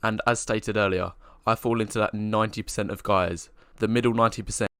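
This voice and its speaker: Young man, low voice, earnest matter-of-fact monologue, dry sound. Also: steady tone, fairly quick, fairly bright tone, clear, resonant tone, no room sound. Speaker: vlogger describing himself. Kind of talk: scripted self-description monologue.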